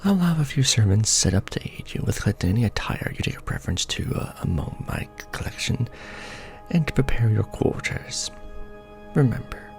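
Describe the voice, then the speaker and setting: A man playing an ancient, world-weary vampire lord in an intimate ASMR roleplay, speaking formally and grandly in a breathy near-whisper with soft menace. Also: mid-range voice. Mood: apologetic, resolved. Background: music.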